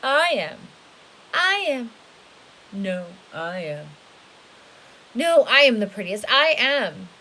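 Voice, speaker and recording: A woman's voice: fairly high pitch, big pitch swings, takes it slowly, long pauses, pushed and bright, heavily acted, poor audio. A woman voicing vain, squabbling cats, boastful and insistent, in a storybook read-aloud. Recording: hissy home recording.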